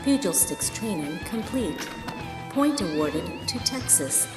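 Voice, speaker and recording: Echoing, measured female computer announcer. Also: a little high, huge pitch swings, heavily acted. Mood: impersonal, procedural. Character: composed female computer announcing combat training rounds. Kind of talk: formal scripted announcements. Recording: echoing voice under loud music.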